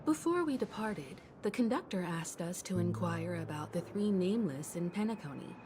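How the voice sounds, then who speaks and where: An actress playing a poised, perceptive woman who leads an expedition in a video game, composed, polite and gently probing. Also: on the low side, lively intonation, normal speed, noisy background, natural voice. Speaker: video game character voice actor. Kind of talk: dramatic game dialogue.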